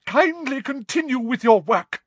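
A melodramatic female seer from a storybook, acted out by a man, pitched far above a normal male voice. The voice wavers and throbs with wounded dignity. It swoops hysterically through theatrical, drawn-out phrases in a dry recording. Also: animated, dry recording, full character voice. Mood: outraged.

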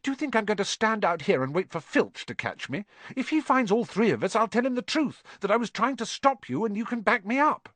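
A man acts out an indignant young schoolgirl for an audiobook. The voice is high, shrill and swooping. It scolds in a prim, bossy way. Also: very animated, brisk, hardly any pauses, sharp tone, no room sound, exaggerated voice. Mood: defiant. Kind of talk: audiobook character voice.

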